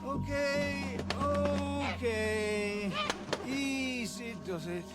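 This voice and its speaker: Radio drama role: reclusive bird lover, high male voice, slow and halting, guarded yet gentle, loud music behind. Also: heavily acted. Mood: gentle, soothing. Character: reclusive, wary bird-loving man, gentle but guarded. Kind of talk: naturalistic radio drama acting.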